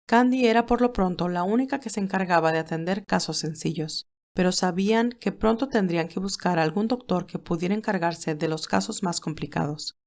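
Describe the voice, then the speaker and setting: A woman reading scripted story narration in a measured, expressive way. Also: on the low side.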